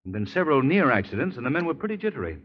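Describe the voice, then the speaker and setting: An actor playing an earnest, urbane gentleman detective in 1930s radio style. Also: mid-range voice, very animated, rapid-fire, husky. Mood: troubled. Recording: muffled lo-fi 1930s radio broadcast.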